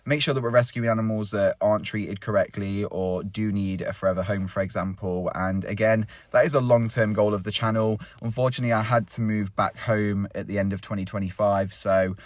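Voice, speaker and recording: A man's voice: on the low side, flat delivery, brisk, few pauses, no room sound. A man in his thirties rambling openly and chattily as a vlog host while out on a countryside walk. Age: 30s. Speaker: vlogger host. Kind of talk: casual walk-and-talk vlog.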